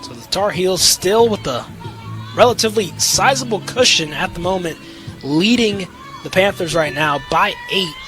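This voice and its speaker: A man's voice: sits high, lively intonation, moderate pace, fairly bright tone, soft background music. A young man calling play-by-play sports commentary as an announcer. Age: young adult.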